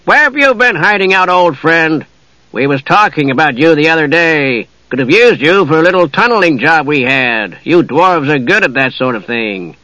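A man's voice: a little high, expressive, moderate pace, sharp tone, no echo, low-quality recording. A man voicing a shifty, sneering thieves' guildmaster with a snarling manner and slangy grammar in a storybook reading. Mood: sneering familiarity.

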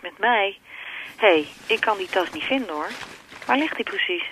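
A woman's voice: mid-range voice, animated, normal speed, fairly bright tone, a little hiss or hum, poor audio. An actress playing a needy, teasing girlfriend with a coaxing way of talking, in a radio drama. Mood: searching, casual. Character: needy, teasing girlfriend who stalls her departing boyfriend.

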